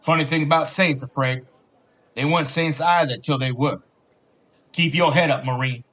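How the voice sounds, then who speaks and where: A man's voice: a little high, normal speed, a bit bright. An actor playing a blunt but caring, fatherly police sergeant in an audio drama, folksy in his talk. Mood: earnest, fatherly. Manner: folksy, blunt, calls younger men kid and bub.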